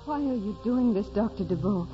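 A woman's voice: medium pitch, lively intonation, moderate pace, music in the background, lightly acted. An actress playing a meek, earnest young girl, polite and timid but direct, in 1940s radio drama style. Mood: meek, weary. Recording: dull old radio broadcast.

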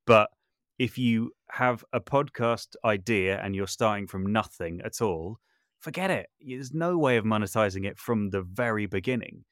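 Low-voiced man in thoughtful conversation. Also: normal speed, fairly bright tone, no room sound, clean studio sound. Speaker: podcast guest, audio drama maker and composer. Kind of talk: reflective interview conversation.

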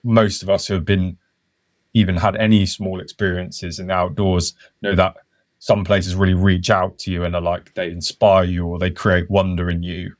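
A man's voice: low-pitched, level tone, normal speed, dry recording, studio quality. A man speaking as a podcast guest, reflective and thinking aloud in a casual interview. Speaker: podcast guest, conservation worker.

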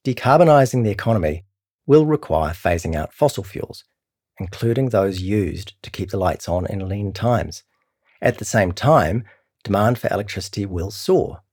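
Low, clear-spoken New Zealand male narrator. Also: animated, normal speed, a little mellow. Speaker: narrator reading a long-form article. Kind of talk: scripted documentary narration.

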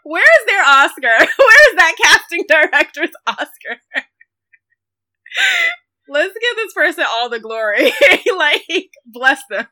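A woman's voice: high voice, sing-song, takes it slowly, sharp tone. A woman co-hosting a casual film discussion in a gushing, giggly, conversational way. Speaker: podcast co-host.

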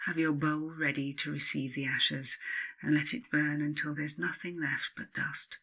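A woman narrating a spiritual self-help book as its author, in a solemn, deliberate, incantatory way. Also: sits low, pushed and bright, slightly rough. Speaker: author and podcast host reading their own book.

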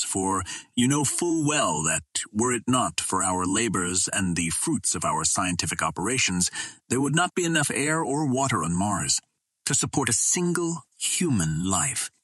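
A man's voice: sing-song, moderate pace, a bit bright, slightly rough, no echo, exaggerated voice. A man voicing a proud captive princess from another planet in a storybook, speaking in formal, impassioned oratory. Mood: composed, earnest. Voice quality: low, well-modulated.

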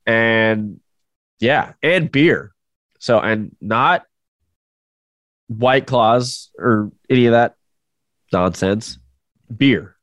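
A man's voice: mid-range voice, drawn-out words, long pauses, a bit bright, dry recording. A man co-hosting a casual podcast, talking through a head cold in a joking, rambling way. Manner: joking, rambling, self-deprecating. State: stuffed up with a cold. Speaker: podcast co-host.

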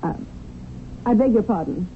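An actress playing a poised, scheming, seductive widow in a 1970s radio drama, with a self-assured, insistent manner. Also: female voice, medium pitch, expressive, normal speed, close to an everyday voice. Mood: disbelieving. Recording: tinny, noisy old radio broadcast.

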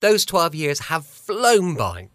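Animated British male podcast host, middle-aged. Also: fairly high pitch, slow pace, dry recording. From England. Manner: chatty, reflective. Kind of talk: casual, chatty show introduction.

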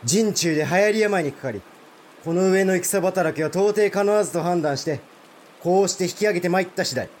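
A man's voice: high-pitched, fairly quick, darkish tone, a little hiss or hum, close to an everyday voice. A man playing a proud, blunt provincial samurai lord in a Japanese historical audio drama, speaking formal warrior speech.

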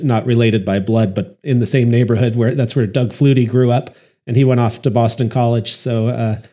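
Mellow-voiced male podcast host. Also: mid-range voice. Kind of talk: scripted intro then interview.

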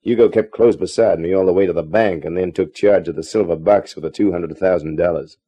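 An actor playing a wisecracking, sardonic private detective with slangy, terse delivery in a 1950s radio crime drama. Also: male voice, low-pitched, brisk, dark tone, slightly rough. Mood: terse narration. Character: wisecracking, hard-boiled male private detective. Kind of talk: 1950s radio detective acting with first-person narration. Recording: dull old radio recording.

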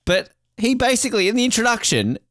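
Podcast co-host, male, Australian. Rambling, jokey, sweary chat with animated intonation, recorded dry. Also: extremely high pitch, quick pace, dry recording.

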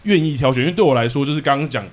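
A man's voice: mid-range voice, rapid-fire. A man with a Taiwanese Mandarin accent hosting a casual podcast interview in a conversational way. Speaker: podcast host, playwright.

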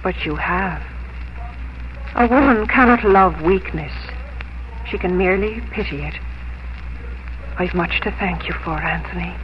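An actress playing a gentle, dignified young schoolteacher in a 1950s American radio drama, speaking earnestly and formally. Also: female voice, on the low side, natural voice. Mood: calm, firm. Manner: earnest, measured, formal phrasing. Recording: muffled, noisy old radio recording.

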